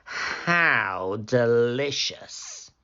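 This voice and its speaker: A woman voicing a wicked, greedy male wolf in a storybook, savoring words in a falsely soft, coaxing way. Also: very deep voice, expressive, very slow, muffled recording, heavily acted. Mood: sly scheming.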